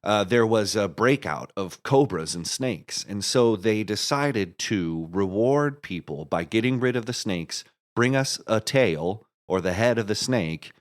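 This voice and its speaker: A man being interviewed as a guest, casually explaining his creative project in a rambling way, thinking aloud and restarting his sentences. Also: lowish voice. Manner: rambling, thinks aloud with repeated false starts. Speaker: guest, writer and director of an audio musical.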